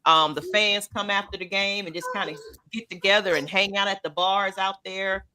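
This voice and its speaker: A woman's voice: steady tone, normal speed, sharp tone. A woman, co-hosting a casual fan chat and laughing often. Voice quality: low-toned voice. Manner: laughs often, loose and chatty. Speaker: podcast co-host.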